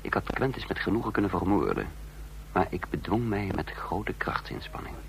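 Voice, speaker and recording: An actor playing a stern intelligence chief who also narrates a radio drama, grave, deliberate and authoritative. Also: male voice, low-pitched, sing-song, creaky, noisy background, exaggerated voice. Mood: grave, haunted. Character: commanding intelligence chief, coldly furious, remorseful narrator. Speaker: narrator and lead character. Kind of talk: dramatic radio play acting.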